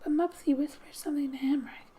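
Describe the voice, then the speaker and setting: A woman hosts a quiet gaming ASMR read-through in a high, mellow voice. She speaks softly and breathily, often slipping toward a whisper. Her delivery is gentle and unhurried, with playful asides, over background music. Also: steady tone, slight background noise. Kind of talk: soft-spoken ASMR game narration, reading text aloud with asides.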